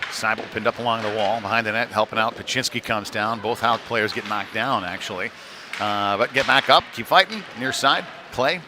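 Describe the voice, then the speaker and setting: A man's voice: fairly low pitch, a little fast. Man calling hockey over rink noise. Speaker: play-by-play commentator. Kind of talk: live youth hockey commentary. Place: indoor ice rink. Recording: noisy live rink stream, background din.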